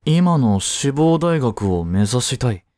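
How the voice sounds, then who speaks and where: Caring high-school boy next door , male actor, romantic audio drama; casual, reassuring, slow delivery, dry sound. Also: very animated, darkish tone, no echo. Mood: concerned, supportive. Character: dense, easygoing teenage boy, caring childhood friend. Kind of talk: Japanese romantic audio drama addressed to the listener.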